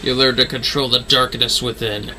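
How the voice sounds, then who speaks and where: A man's voice: mid-range voice, flat delivery, normal speed, over music. A man casually chatting as he streams a fantasy online game, musing playfully to himself. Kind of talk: casual gameplay livestream chat.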